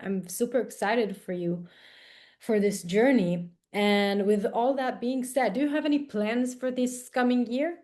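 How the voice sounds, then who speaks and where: A woman's voice: fairly high pitch, measured pace, a little mellow. Encouraging woman podcast host. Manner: supportive, encouraging. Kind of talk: interview questions and responses.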